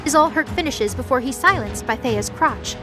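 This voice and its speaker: A woman's voice: high-pitched, expressive, moderate pace. A woman reading scripted third-person narration for an audio drama. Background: music under the voice.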